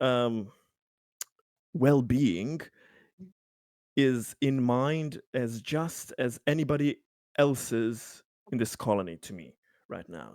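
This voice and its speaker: A man playing an earnest, conciliatory company man in a tabletop game, pleading his good intentions in halting, repeated phrases. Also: medium pitch, dark tone, no echo, clean studio sound.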